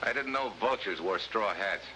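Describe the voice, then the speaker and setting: A man acts a hard-boiled American special agent from an early 1950s TV adventure. His voice is fairly low, terse and sardonic. The old soundtrack is clearly noisy. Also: animated, normal speed, close to an everyday voice. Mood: defiant, dry. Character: tough, wisecracking American government special agent.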